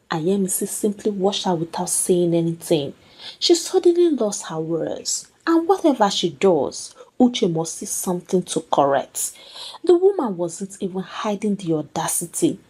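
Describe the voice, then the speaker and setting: A woman tells a scripted folktale in a dramatic storytelling style. Her voice sits a little low and has a husky grain. The pitch rises and falls in a lively way.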